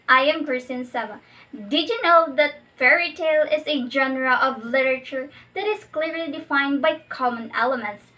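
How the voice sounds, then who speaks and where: A woman's voice: sits high, bright, forceful tone, poor audio. A woman giving a scripted educational presentation, reading aloud in an explanatory way.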